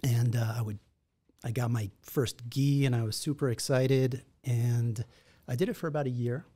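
Man, forties, from israel, podcast guest, dark mellow voice, reflective, measured pace, close studio mic. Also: mid-range voice, steady tone. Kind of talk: conversational storytelling. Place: podcast studio in a martial arts gym. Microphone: boom-arm studio mic with headphones.

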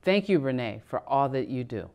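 A middle-aged woman reading a formal commencement address from a podium in a measured way. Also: very deep voice, very animated, darkish tone. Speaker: college president giving commencement address. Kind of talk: formal prepared speech. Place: studio in front of a backdrop.

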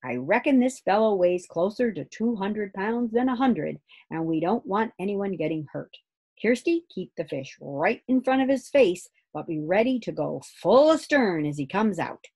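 A woman's voice: mid-range voice, expressive, warm tone, slightly rough, voice pushed up. A woman doing a hearty seafaring dad. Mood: commanding. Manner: brisk nautical orders. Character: hearty middle-aged seafaring father in command.

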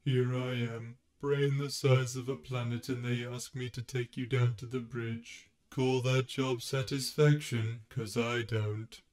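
A man's voice: mid-range voice, level tone, a little slow, hardly any pauses, a little mellow, natural voice. Depressed robot, droning, morose, self-pitying, man's put-on voice, storybook reading. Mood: weary, despondent. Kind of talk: audiobook character voice.

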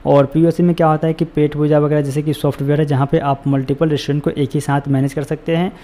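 A man's voice: on the high side, little pitch movement, fast, dark tone. A man narrating a screen-recorded walkthrough, giving repetitive step-by-step instructions as a voice-over. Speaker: tutorial host.

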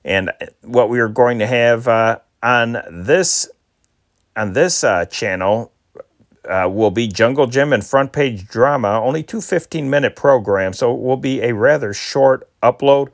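An American man from Chicago hosting with casual, unscripted, halting and rambling talk. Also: mid-range voice. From Chicago, United States. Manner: halting, rambling, hesitant phrasing. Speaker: channel host.

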